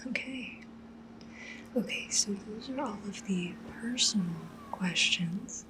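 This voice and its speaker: A woman playing a polite, discreet cyberpunk matchmaker in an ASMR roleplay, speaking gently and professionally in a breathy whisper. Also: mid-range voice, slow pace, noisy background, close to an everyday voice. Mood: calm, businesslike. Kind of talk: soft-spoken ASMR roleplay.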